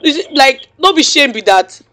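A man's voice: very high voice, very animated, moderate pace, bright, forceful tone. A man with a Nigerian accent complaining in mixed English and Pidgin, indignant, telling his side with rhetorical questions.

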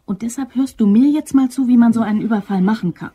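A woman's voice: a little high, fast talker, warm tone, husky. An actress playing a cool, bossy office woman who masterminds a robbery, clipped and businesslike, in a German crime radio play. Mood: commanding. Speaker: actor.

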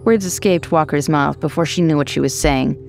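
A woman's voice: sits low, fairly quick, creaky, darkish tone, soft background music. A woman reading a horror short story as scripted narration.

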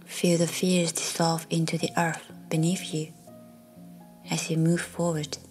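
A woman guiding a meditation in a soft, soothing, breathy voice that often nears a whisper, leaving long pauses. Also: sits low, monotone. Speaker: meditation guide. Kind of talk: guided meditation narration. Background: faint music.

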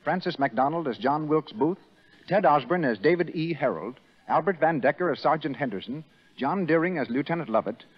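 1930s radio announcer man, kinda high husky voice, formal scripted read, old muffled recording. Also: animated, a little fast. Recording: dull, rough 1930s radio broadcast, faint crowd.

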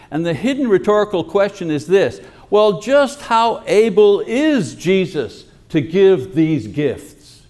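A man's voice: on the high side, darkish tone. An older man delivering a sermon at a church lectern, explaining and reading scripture in an earnest teaching manner over a podium microphone. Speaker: preacher.

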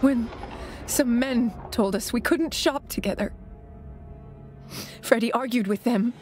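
Grieving young woman, game letter narration, slightly high pitch, gentle, solemn, music behind. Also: slow. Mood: sorrowful. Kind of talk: scripted dramatic letter narration. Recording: music under the voice.

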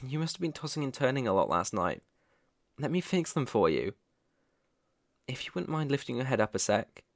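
A man's voice: on the high side, no echo, clean studio sound. A man playing a caring, worried boyfriend in an ASMR roleplay, talking in a soothing, tender, reassuring way. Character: caring, worried boyfriend fussing tenderly over sick partner.

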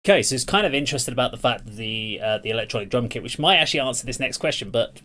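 A man's voice: mid-range voice, expressive, very fast, sharp tone, slight background noise. A middle-aged man asking questions casually as an interview host on a video call from a room.

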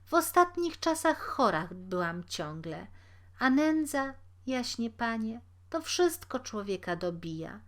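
A woman's voice: fairly high pitch, big pitch swings, slow pace. A woman voicing a humble, sad, pious poor old woman in a storybook tale, speaking meekly and deferentially. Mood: weary, pious. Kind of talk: storybook character reading.

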